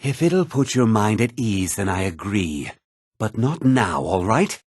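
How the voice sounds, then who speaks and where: A man's voice: lowish voice, big pitch swings, unhurried, slightly rough, no echo, studio quality, full character voice. A man playing a haughty, self-important townsman and merchant in a fantasy video game, speaking in a smug, theatrical, dryly condescending way. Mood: cool, dismissive. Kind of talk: video game character dialogue.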